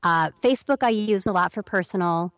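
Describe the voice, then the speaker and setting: A woman's voice: medium pitch, fairly quick, no echo. A young woman speaking as a guest on an interview podcast, explaining at length in a chatty way and acting out quoted messages. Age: young adult. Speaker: voiceover talent guest.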